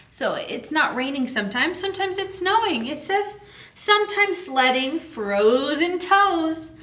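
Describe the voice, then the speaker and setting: High-voiced woman reading a children's story. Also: sharp tone. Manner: simple teacherly phrasing, asks and answers own questions. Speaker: children's librarian reading a picture book aloud. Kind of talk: children's story time read-aloud with questions and explanations. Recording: echoey room, distant microphone.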